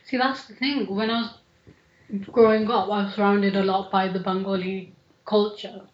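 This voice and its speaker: A woman's voice: mid-range voice, little pitch movement, normal speed. A woman, talking earnestly in a casual podcast discussion, often saying "like". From England (Manchester). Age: 20s. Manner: earnest, frequent "like" fillers. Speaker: podcast participant. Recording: roomy, dull lo-fi recording.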